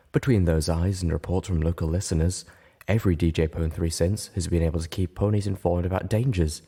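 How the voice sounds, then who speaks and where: A calm little unicorn mare from a fantasy audiobook, performed by a man. The voice is husky and mellow, with a casual, confiding delivery. There is a wry, earnest temper to it. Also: low-pitched, pitched below the performer's own voice.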